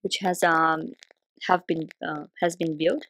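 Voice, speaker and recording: Brazilian woman, hesitant and halting. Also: deep voice, fairly even intonation, a bit bright, no room sound. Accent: Brazilian Portuguese. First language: Portuguese. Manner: hesitant, many ums and restarts. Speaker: podcast guest. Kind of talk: casual interview chat.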